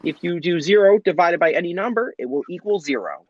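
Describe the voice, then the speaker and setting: A man hosting a quiz game show, reading questions and ruling on answers in a brisk, formal way. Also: high-pitched, lively intonation, no echo, highs cut off. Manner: brisk, formal quizmaster delivery.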